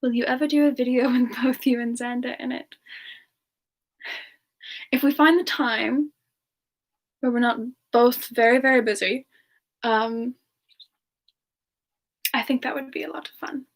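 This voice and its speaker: A woman voicing a gentle, affectionate female frost demon in a semi-ASMR fan Q&A, soft-spoken and hesitant, drifting toward whispers. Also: high-pitched, steady tone, a little slow, long pauses. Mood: fond, amused. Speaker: ASMR character performer answering viewer questions. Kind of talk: semi-ASMR in-character livestream Q&A.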